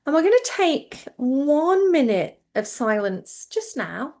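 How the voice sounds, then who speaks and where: A woman teaching yoga nidra, guiding listeners into relaxation in a gentle, reassuring, informal way. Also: sits high, sing-song, slow pace. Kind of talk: guided relaxation instruction.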